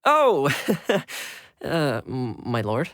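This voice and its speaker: A man's voice: mid-range voice, wildly swooping pitch, very slow, a bit bright, dry recording, clean studio sound, heavily acted. An actor, a man, playing a loyal, deferential and drily long-suffering retainer in a video game. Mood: flustered. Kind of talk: video game character acting.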